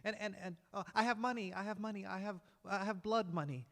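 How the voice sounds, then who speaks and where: A man voicing a panicked young man who stammers and pleads, his voice raised well above his usual pitch. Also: quick pace, fairly bright tone. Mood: panicked pleading. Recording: long hall reverb.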